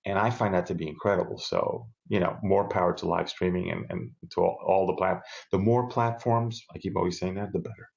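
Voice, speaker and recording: A man's voice: low voice, fairly even intonation, a little fast, creaky, hardly any pauses, a little mellow, dull audio. A middle-aged man from South America, chatting as a guest on a video-call interview, enthusiastic and rambling with restarts, from a home room with bookshelves. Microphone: earbud headset on a video call.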